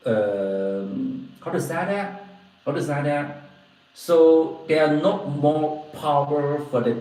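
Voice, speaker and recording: Man, southern Chinese accent, casual livestream host, halting repeated phrases, heavily echoing room, away from the mic. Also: mid-range voice, a little mellow. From Guangdong, southern China. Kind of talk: casual livestream chat, replying to viewers. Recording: very echoey room, distant mic.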